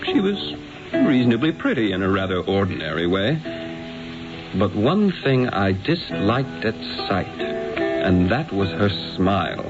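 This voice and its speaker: An actor playing a proud, witty master painter in a radio drama, speaking in a theatrical, haughty and eloquent manner. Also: male voice, lowish voice, very animated, a little slow. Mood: wry narrating. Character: proud, witty, grandiose male master painter narrating his story. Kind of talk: radio drama acting with first-person narration. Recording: dull vintage radio broadcast with music.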